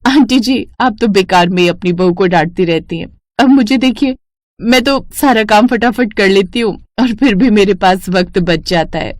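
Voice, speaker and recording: A woman's voice: mid-range voice, moderate pace, no room sound, studio quality, close to an everyday voice. A woman voicing a self-assured, two-faced young neighbour wife in a cartoon family story. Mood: sugary.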